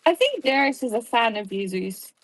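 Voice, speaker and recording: A woman's voice: on the high side, big pitch swings, moderate pace, poor audio. A woman with a South African accent in casual chat, earnestly explaining things at length. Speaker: guest.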